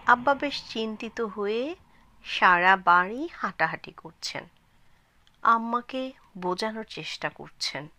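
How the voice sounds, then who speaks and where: Bright-voiced woman narrating slowly. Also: a little high, animated, no room sound. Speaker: channel storyteller. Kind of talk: scripted story narration.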